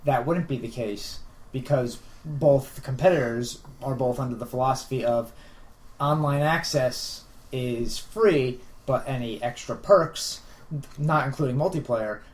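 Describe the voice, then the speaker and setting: A man co-hosting a podcast, explaining things assertively in casual discussion with friends. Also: medium pitch. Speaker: podcast co-host.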